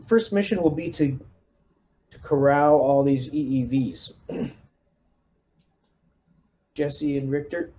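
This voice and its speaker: A man playing a tabletop game as squad leader, talking casually and haltingly, often clearing his throat. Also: medium pitch, warm tone, slight background noise. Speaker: player leading the squad.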